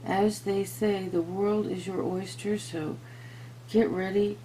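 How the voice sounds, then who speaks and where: A woman's voice: mid-range voice, steady tone, slow, dark tone, slight background noise, low-quality recording. A woman hosting a tarot reading, earnestly addressing viewers, moving between reading aloud and informal asides. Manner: earnest, talks to viewers and to spirit. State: voice giving out, throat-clearing. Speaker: tarot reader and host.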